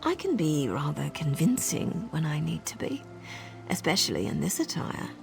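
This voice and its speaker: A woman's voice: low voice, huge pitch swings, moderate pace, vocal fry, music in the background, exaggerated voice. A woman playing a sly, teasing assassin disguised as a servant in a video game. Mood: sly scheming. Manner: sardonic, playful, conspiratorial. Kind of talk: video game character acting.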